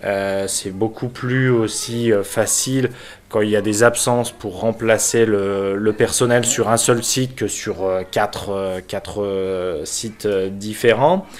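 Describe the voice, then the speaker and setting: A man from France who is a local mayor, giving an unscripted explanation in a halting, hesitant manner with frequent pauses. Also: lowish voice, steady tone. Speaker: village mayor and local councillor.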